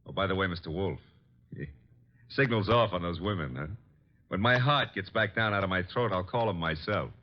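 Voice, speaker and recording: An actor playing a brash, loyal detective's sidekick with slangy, punchy delivery in an old radio crime drama. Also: male voice, sits low, normal speed, natural voice. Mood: amazed, relieved. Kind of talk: 1940s-50s radio drama acting. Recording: dull, muffled old radio recording.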